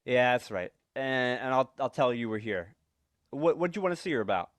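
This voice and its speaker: A man's voice: mid-range voice, expressive, a little fast, no echo, close to an everyday voice. A man acting a nervous, secretive husband with menace under his evasive stammer, in 1940s radio suspense style. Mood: evasive, uneasy. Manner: stammering, evasive, repeats words.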